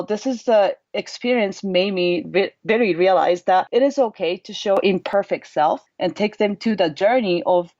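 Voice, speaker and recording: A woman's voice: mid-range voice, darkish tone, no echo. A woman in her thirties with a Japanese accent, answering interview questions in an earnest, reflective way. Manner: earnest, reflective, plain phrasing. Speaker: guest, mindset coach. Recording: slightly dull, dry audio.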